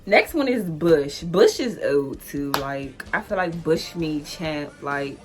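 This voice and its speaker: Chatty young D.C. woman, low-pitched voice. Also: lively intonation, slow pace. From Washington, D.C. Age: 20s. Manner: loose and chatty, heavy "like" fillers, easy laughter. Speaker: vlogger. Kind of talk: casual solo vlog explainer.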